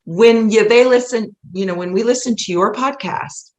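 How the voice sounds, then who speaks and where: A woman's voice: on the high side, moderate pace, darkish tone. A woman guest on a podcast talking casually and earnestly, in an emphatic, rambling way. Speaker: podcast guest, musical playwright. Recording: roomy, echoey sound.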